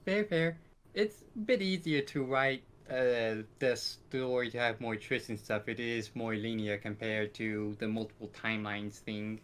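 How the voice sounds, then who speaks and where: Guy with a smooth clean voice, medium pitch, just chatting on a gaming podcast. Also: fairly even intonation, a little slow, fairly bright tone. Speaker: podcast co-host. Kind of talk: casual podcast chat about games.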